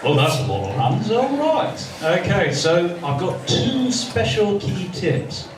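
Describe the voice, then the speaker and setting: A man addressing a panel audience in a lively way, recorded from a distance in an echoing room. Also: sits high, takes it slowly. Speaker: convention panelist, experienced voice actor. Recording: distant, echoey room with crowd noise.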